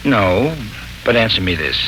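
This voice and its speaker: Lovestruck gentleman, lowish and forceful, earnest and florid with nervous hesitations, vintage radio drama, noisy recording. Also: sing-song, unhurried. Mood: anxious, hopeful. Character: hearty, anxious, lovestruck male suitor. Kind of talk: 1940s radio serial acting.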